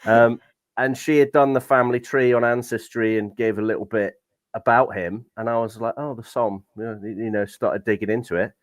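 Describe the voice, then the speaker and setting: A man's voice: mid-range voice, fairly even intonation, moderate pace, dry recording. A man in his late thirties from the United Kingdom with a British accent, co-hosting a casual podcast in a laid-back, dry manner. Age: 30s. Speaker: podcast co-host. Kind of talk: casual chat.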